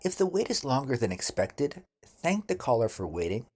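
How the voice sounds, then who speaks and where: A man teaching an English lesson, speaking deliberately and enunciating carefully as he gives model phrases for learners to repeat. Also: mid-range voice, big pitch swings, a little mellow, slightly rough.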